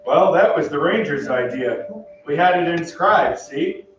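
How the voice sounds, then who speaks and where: A man's voice: on the high side, expressive, measured pace. A man playing a brash, folksy, cocky American soldier in an old-time radio style stage show. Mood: easygoing. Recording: echoey stage, distant mic, muffled, faint noise.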